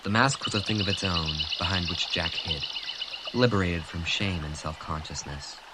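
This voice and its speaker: A man reading a graphic novel aloud in a hushed, measured way. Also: low voice, heavy background noise. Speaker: reader.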